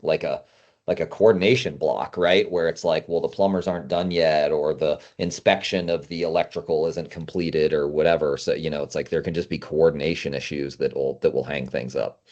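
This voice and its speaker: Low-pitched man hosting, slightly muffled audio. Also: steady tone, brisk, a little mellow. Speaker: podcast host. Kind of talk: casual conversational discussion. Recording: dull, lo-fi audio.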